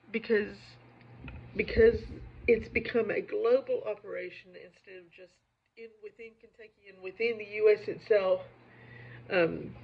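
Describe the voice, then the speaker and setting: A woman with a lingering cold, talking to viewers as a poetry video host in a casual, digressive, self-deprecating monologue with a creaky voice. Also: medium pitch. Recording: dull lo-fi audio.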